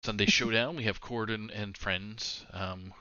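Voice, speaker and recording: A man's voice: lowish voice, a little slow, muffled recording. A man hosting a card game stream, chatting casually and reading out upcoming matches with plenty of fillers. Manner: relaxed, rambling with fillers. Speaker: esports stream host. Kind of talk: casual chat and announcements.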